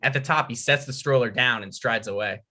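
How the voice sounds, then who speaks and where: A man's voice: mid-range voice, brisk, pushed and bright. A man narrating a script's stage directions at a table read.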